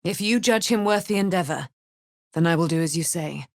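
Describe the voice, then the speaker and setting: An actress playing a proud, righteous immortal warrior, daughter of a moon goddess, with grandiose, formal delivery in a fantasy video game. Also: female voice, fairly low pitch, a little fast, dry recording, studio quality, close to an everyday voice. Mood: gracious, solemn.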